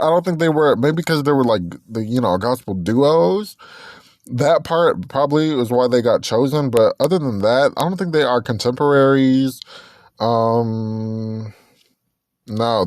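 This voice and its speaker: A man's voice: mid-range voice, unhurried, dry recording, clean studio sound. A man in his twenties hosting a casual solo podcast, chatty and rambling, correcting himself as he goes and breaking into snatches of songs. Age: 20s. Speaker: podcast host.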